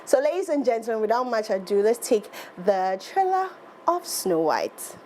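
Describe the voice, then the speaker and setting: A woman hosting a radio show with a Ghanaian English accent, bubbly and quick to laugh. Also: a little high, big pitch swings, darkish tone, a little hiss or hum. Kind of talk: casual chat. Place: radio studio.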